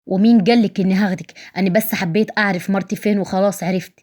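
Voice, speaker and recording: A woman's voice: mid-range voice, fairly even intonation, very fast, a little mellow, lightly acted. A woman voicing a proud, cold young husband in an audio story, curt and firm, with an Egyptian accent.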